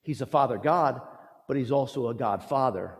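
A man's voice: mid-range voice, expressive. Theatrical male preacher in a reverberant hall. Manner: theatrical, emphatic delivery with dramatic pauses and swings between loud and hushed. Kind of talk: dramatic storytelling sermon. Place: large reverberant church or hall. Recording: echoey hall with long reverb.

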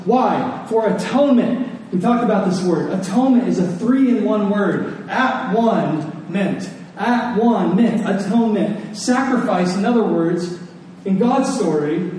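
A man's voice: sits high, warm tone. A middle-aged man preaching a sermon in an earnest, conversational way, repeating phrases for emphasis. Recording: echoey room, distant mic, faint noise.

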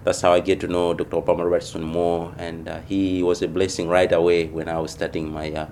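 A man from Malawi, a missionary church planter, answering interview questions in a thoughtful, unhurried way with many pauses. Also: low-pitched, little pitch movement, darkish tone, slight background noise.

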